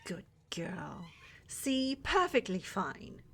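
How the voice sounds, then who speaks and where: A woman playing a flirty, scheming witch in a fantasy ASMR roleplay, with a breathy, teasing, coaxing delivery that often drops to whispers. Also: mid-range voice, very animated, very slow, a bit bright, heavily acted. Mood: probing, suspicious. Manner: teasing, coaxing, sugary endearments with theatrical menace. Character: flirty, scheming witch who runs a potion shop. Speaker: voice actor in audio roleplay.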